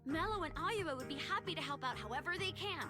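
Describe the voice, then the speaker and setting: A woman's voice: sits high, expressive, fast talker. A woman voicing a small, polite, eager helper character in a video game. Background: game music.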